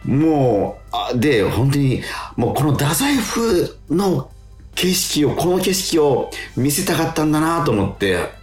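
A man telling stories as a radio host in casual chat, excitable and colloquial, often repeating his agreements. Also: on the high side, measured pace, reverberant, soft background music. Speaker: radio show DJ and stage actor.

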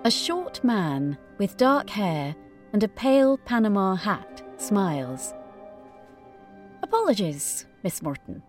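A woman narrating a mysterious first-person story in a hushed, measured, dramatic audiobook style. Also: mid-range voice, very animated. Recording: music bed under narration. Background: music.

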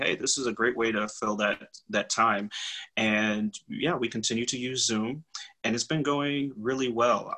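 A man's voice: medium pitch, pushed and bright, husky. A man chatting as an interview guest over a video call, talking in long reflective stretches with frequent fillers. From United States. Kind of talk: casual conversational interview.

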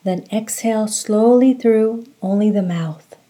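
Woman, meditation guide, unhurried pace, relaxed dark timbre, calm measured delivery. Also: medium pitch. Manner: slow, calm, measured instructions. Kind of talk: guided meditation narration with recitation.

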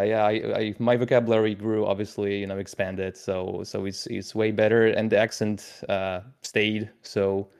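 A man chats on a podcast in English. His voice sits a bit low. He is relaxed and talkative, leaning on "you know" and "like". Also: smooth. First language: Polish. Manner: chatty, relaxed, filler-heavy. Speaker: podcast co-host. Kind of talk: casual podcast chat.

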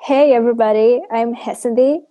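A woman's voice: fairly high pitch, steady tone, fairly quick, muffled recording. A young woman from Sri Lanka, co-hosting a podcast in an upbeat way. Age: young adult. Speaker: podcast co-host.

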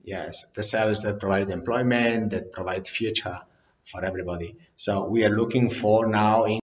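A man who is not a native English speaker, a company CEO talking straight to the camera in plain, halting phrases and repeating words. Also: lowish voice, fairly even intonation, highs cut off. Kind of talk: direct-to-camera business talk.

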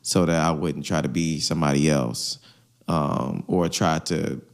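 A young man from Virginia co-hosting a podcast, talking in a relaxed, loose way full of "you know" fillers. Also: extremely low pitch, unhurried, creaky. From Hampton, Virginia. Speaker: podcast co-host. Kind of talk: casual conversation.

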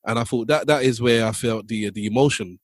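A man co-hosting a podcast, asking questions in a halting way full of fillers and restarts. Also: medium pitch, no echo, clean studio sound. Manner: hesitant, many fillers and restarts. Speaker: podcast co-host. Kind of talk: casual podcast conversation.

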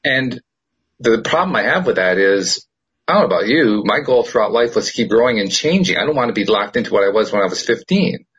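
Male host, thoughtful and measured, lowish husky voice, lively pitch. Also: low-quality recording. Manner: thoughtful, measured, searching for words. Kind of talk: casual chat.

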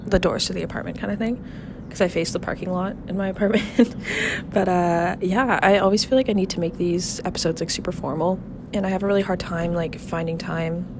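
Young woman, lowish voice, stuffy nasal cold, rambling casual chat, outdoor balcony noise. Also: steady tone, brisk. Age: 20s. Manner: rambling, filler-heavy, frequent laughs and swearing. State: congested, nasal from a head cold. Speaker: podcast host. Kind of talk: casual solo podcast chat.

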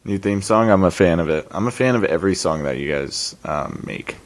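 A man with a fairly low voice that sounds hoarse, as if he were always sick. He teases his co-hosts in a deadpan way in casual podcast talk. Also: brisk. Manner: deadpan, teasing. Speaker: podcast co-host. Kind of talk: casual chat.

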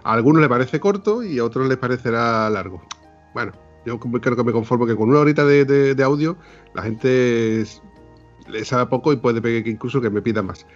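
A man's voice: medium pitch, a little fast, lo-fi sound, soft background music. A man hosting a podcast, telling stories in a casual, jokey way and laughing often. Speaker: podcast host. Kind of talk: casual chat.

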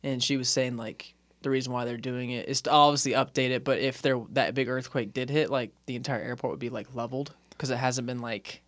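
A man's voice: medium pitch, steady tone, fairly quick, fairly bright tone, no echo. A man with an American accent hosting a podcast and chatting in a loose, informal, conversational way. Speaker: podcast host and interviewer. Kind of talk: casual podcast chat.

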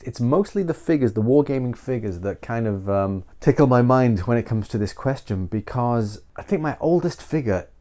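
A man's voice: on the low side, dark tone. A middle-aged man hosting a solo podcast, nasal from a cold, rambling and self-deprecating with frequent restarts. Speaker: podcast host.

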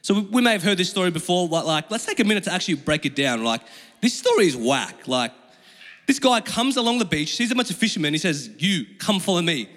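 A man's voice: high-pitched, big pitch swings, fast talker, a bit bright. A young man with an Australian accent and Chinese as his first language, preaching in an informal, slangy, conversational style. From Australia, Melbourne. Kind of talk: conversational sermon with personal storytelling. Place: large reverberant room with an audience. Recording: long hall reverb.

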